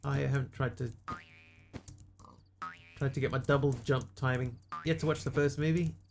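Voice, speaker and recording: A man's voice: medium pitch, fairly even intonation, moderate pace, gravelly, darkish tone, faint music behind. Dry-humored New Zealand man streaming. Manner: dry, self-mocking, chatty asides to viewers. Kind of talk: casual live-stream commentary while gaming.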